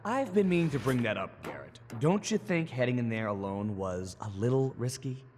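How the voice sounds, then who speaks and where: A man's voice: medium pitch, sing-song, moderate pace, a little mellow. A man voicing a conscientious, worrying young team mechanic in a cartoon, earnest and cautious in manner. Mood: concerned.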